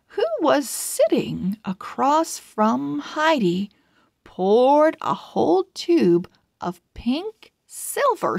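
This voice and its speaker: A woman reading a children's storybook aloud in an expressive, deliberate way. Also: medium pitch, vocal fry, no echo, studio quality. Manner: expressive, deliberate word-by-word delivery. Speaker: storybook reader. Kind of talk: children's read-aloud narration.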